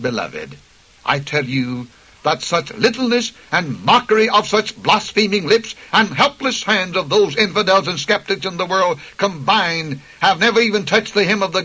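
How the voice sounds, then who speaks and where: A man's voice: high-pitched, sharp tone, breathy edge, rough recording. A man preaching a radio sermon with impassioned, emphatic delivery, citing scripture chapter and verse.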